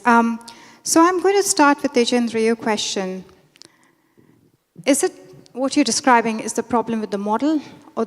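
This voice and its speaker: A woman keynote speaker answering questions at a conference, speaking directly and firmly. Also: on the high side. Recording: long reverb of a lecture hall.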